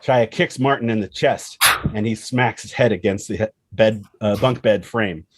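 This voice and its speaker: A man's voice: on the low side, normal speed, a little hiss or hum. A man reading stage directions as dramatic scripted narration at a table read, in punchy phrases. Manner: punchy, present-tense action reading.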